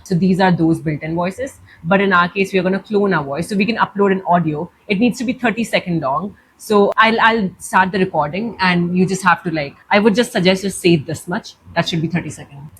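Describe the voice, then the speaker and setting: A young woman with an Indian accent hosts a casual tutorial. Her voice sits a little low, and she explains step by step in a loose, chatty way that keeps reaching for "like." A faint background noise sits under the recording. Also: brisk, hardly any pauses, low-quality recording. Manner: chatty, leans on "like" while explaining. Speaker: tutorial host.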